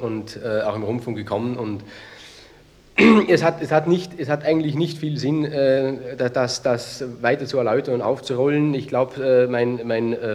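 An actor playing an evasive, irritable small-town brass band conductor who speaks haltingly and dismissively in a naturalistic radio interview. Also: male voice, mid-range voice, a little mellow, close to an everyday voice. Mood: reluctant, evasive.

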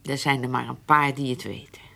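A woman's voice: rumbling low, steady tone, fairly quick, vocal fry, sharp tone, heavily acted. An actress playing a guarded, weary middle-aged mother who keeps her secrets, in a Dutch radio play. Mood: reluctant, wistful.

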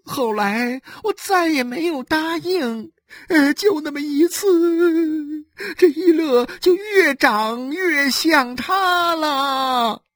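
Sobbing, frightened wife in an audiobook drama: very high voice, slow faltering lament, close dry sound. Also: female voice, fairly bright tone, no room sound. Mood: wailing lament. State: crying. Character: wailing, wronged, frightened wife and mother.

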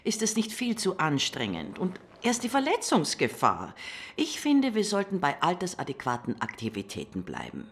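A woman's voice: fairly low pitch, huge pitch swings, moderate pace, a little hiss or hum. A woman acting as a prim, disapproving older lady, fussy and sarcastic, in a radio drama.

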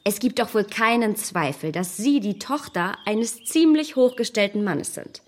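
An actress playing a proud, snobbish young gentlewoman in a radio play, speaking in a poised, condescending and emphatic way. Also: mid-range voice, animated, measured pace, close to an everyday voice. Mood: haughty, admonishing.

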